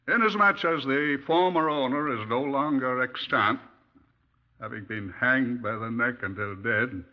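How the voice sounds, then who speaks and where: An actor playing a formal, pedantic British chief superintendent who narrates a 1950s radio crime drama. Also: male voice, mid-range voice. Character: pompous police chief superintendent, museum custodian, pedantic. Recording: dull 1950s radio recording.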